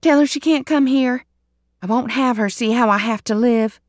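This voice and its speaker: A woman's voice: lowish voice, moderate pace, a little mellow, no echo, clean studio sound, natural voice. A woman voicing a distrustful young mother who speaks tensely and defensively. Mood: anxious. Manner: tense, raised and clipped.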